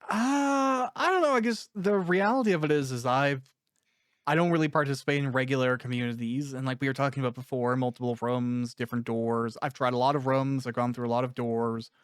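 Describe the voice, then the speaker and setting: Clear-voiced man in reflective conversation. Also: medium pitch, fairly even intonation, brisk, dry recording, clean studio sound. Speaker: author interviewing a cast member. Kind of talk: casual, reflective interview conversation.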